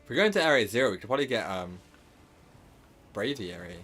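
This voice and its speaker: A man with a slightly sore, hoarse throat, casually commenting on his live gameplay stream and rambling with lots of filler words and self-corrections. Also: fairly low pitch, sing-song, quick pace, fairly bright tone, faint music behind.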